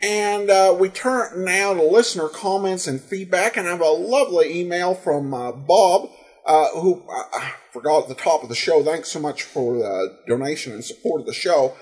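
A male podcast host speaks off the cuff in a somewhat high-pitched voice. His delivery is halting, with many ums and uhs as he works through commentary and listener feedback. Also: darkish tone.

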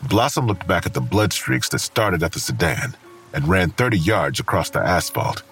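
An extremely deep American man's voice narrates a crime drama, grave and detached. The delivery is measured yet moves widely in pitch, with faint music beneath. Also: big pitch swings, fairly bright tone, full character voice. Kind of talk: scripted noir crime narration.

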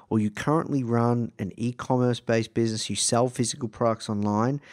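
An Australian man hosting a podcast with a croaky, hoarse voice. He speaks upbeat and fast, with many "uh" fillers. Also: medium pitch, darkish tone. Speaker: podcast host. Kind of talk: casual podcast intro monologue.

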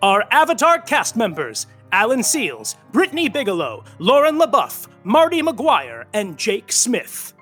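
An arch male soap-opera announcer in a comic audio drama. The delivery is highly animated and bright, over light music. It is theatrical and mock-grand, treating every line as a gushing flourish. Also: unhurried, few pauses, exaggerated voice. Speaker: narrator. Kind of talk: scripted comic narration and credits.

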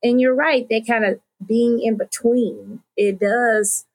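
A woman's voice: a little high, fairly even intonation, slow pace, a little mellow. A woman with an American accent hosting a podcast, interviewing in a conversational way. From United States.

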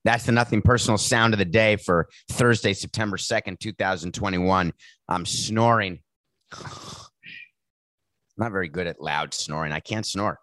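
A man hosting a sports podcast, with a raspy voice and a sardonic, mocking way of talking. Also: sits low, normal speed, fairly bright tone, no room sound. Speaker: podcast host. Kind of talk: sarcastic sports commentary.

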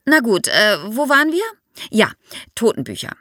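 Animated woman explaining, close dry sound. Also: fairly high pitch, moderate pace, no echo. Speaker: expert guest, archaeologist. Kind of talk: explanatory podcast interview for young listeners.

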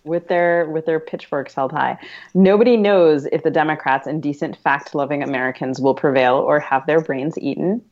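A woman's voice: low-pitched, normal speed, darkish tone, muffled recording. A woman hosting a podcast in a conversational interview style. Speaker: podcast host.

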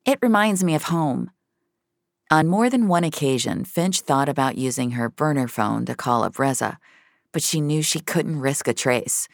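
A woman's voice: a bass voice, lively intonation, moderate pace, gravelly, no room sound, studio quality. A woman narrating a scripted fiction story as its storyteller.

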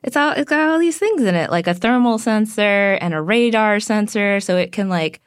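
A woman's voice: medium pitch, smooth, dry recording, studio quality. A woman tech reviewer chatting animatedly as a podcast guest, a little sniffly. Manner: animated, quick asides with laughs. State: slightly sniffly after a cold. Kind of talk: casual podcast chat.

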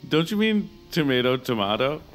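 A man's voice: medium pitch, big pitch swings, a little slow, low-quality recording. An actor playing a casual male bar date who becomes a bewildered victim, in a naturalistic audio drama. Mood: playful. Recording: loud music under the voice.

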